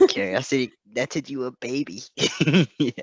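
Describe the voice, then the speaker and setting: A woman's voice: a bass voice, slightly rough, no room sound. A woman making casual, amused comments as a co-reader during a story. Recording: dull, close dry recording.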